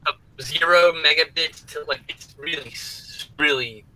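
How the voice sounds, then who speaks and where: A man talking casually on a group call, halting and repeating himself. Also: on the high side, sharp tone, rough recording.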